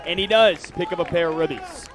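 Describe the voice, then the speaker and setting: A man with a fairly high voice announces a baseball game live. He delivers play-by-play commentary, describing the action as it unfolds. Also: fairly quick, crowd noise, rough recording.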